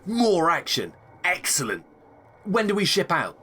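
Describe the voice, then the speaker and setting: Eager, chummy space agent. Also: male voice, sits high, wildly swooping pitch, unhurried, bright, forceful tone, breathy edge, full character voice. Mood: eager, excited. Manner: enthusiastic, chatty. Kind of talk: comic sci-fi audio drama acting.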